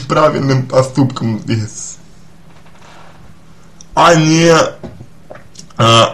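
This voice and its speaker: A man with a mid-pitched voice gives advice in the role of a psychologist. He talks very slowly and deliberately, without a script. His delivery is halting, and he often repeats or rewords a phrase before moving on. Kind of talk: spoken advice to a listener, unscripted.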